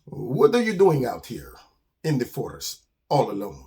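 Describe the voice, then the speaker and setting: A man's voice: medium pitch, wildly swooping pitch, unhurried, gravelly, warm tone, pitched below the performer's own voice, full character voice. A man voicing a pudgy, vain male skunk in a children's story, pompous and boastful but kind. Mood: puzzled. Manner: pompous, grandly self-admiring, hesitant when questioned.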